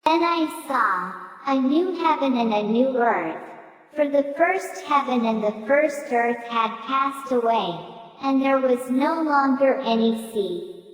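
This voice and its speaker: Female text-to-speech scripture reader, very unhurried and formal, set in long hall reverb. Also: high-pitched, animated. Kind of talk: scripted Bible reading.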